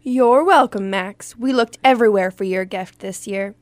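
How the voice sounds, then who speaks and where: A woman playing a gentle, reassuring mother of a teenage boy in an audio drama, soft and soothing. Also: medium pitch, moderate pace, no room sound, lightly acted. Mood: kindly. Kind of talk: hobby audio drama acting.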